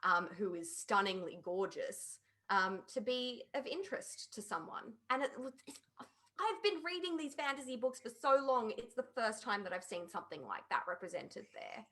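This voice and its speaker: A woman's voice: fairly high pitch, very animated, moderate pace, fairly bright tone. A woman chatting informally and emphatically as a podcast panelist reviewing a show. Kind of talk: casual opinionated review chat.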